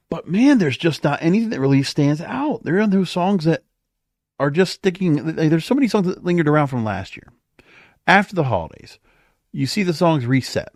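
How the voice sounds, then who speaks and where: A man's voice: on the high side, big pitch swings, moderate pace, no room sound, clean studio sound. A man hosting a podcast alone in a casual, conversational, rambling way, as if thinking aloud. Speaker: podcast host. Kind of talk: casual solo monologue. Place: home or small studio.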